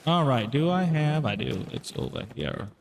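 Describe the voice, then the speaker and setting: Guy streaming a shooter, slangy and playful, pitch jumps around, bit of noise. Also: very animated, moderate pace, creaky. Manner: slangy, playful, breaks into song. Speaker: game streamer. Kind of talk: casual live gameplay commentary mixed with singing along.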